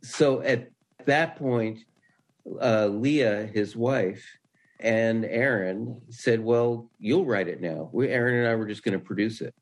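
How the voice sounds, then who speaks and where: A man's voice: mid-range voice, takes it slowly. An older man, a writer being interviewed, talking casually and anecdotally. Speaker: interview guest, screenwriter.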